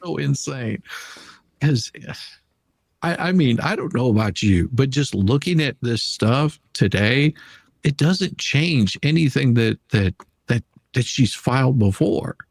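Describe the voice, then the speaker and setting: A man with a husky voice hosting a casual live stream, often repeating and restarting his words as he talks. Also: medium pitch, animated, a little slow, no echo. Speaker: livestream host.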